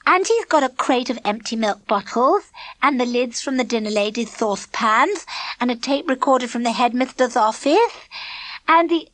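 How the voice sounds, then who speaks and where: A woman's voice: fairly high pitch, animated, a bit bright, no echo, voice pushed up, rough recording. A woman voicing a smug, sugary tattletale schoolgirl who drags out her words. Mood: drawn-out. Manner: sugary, smirking, drawn-out.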